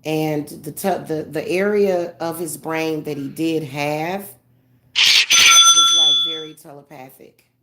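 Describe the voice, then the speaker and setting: A woman speaking as a spiritual medium in a casual monologue, congested, halting with many fillers and restarts, earnest and tender. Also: sits low, steady tone, very noisy.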